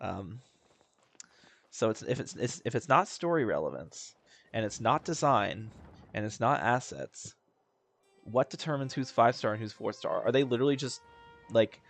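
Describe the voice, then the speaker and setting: A young man thinks aloud over a video game, rambling and circling back on his words. His voice sits at an everyday middle pitch, with faint game music under it. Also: lively intonation, normal speed, creaky, pauses often. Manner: rambling, chatty, lots of fillers and restarts. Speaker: gaming video host. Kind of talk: casual gameplay commentary.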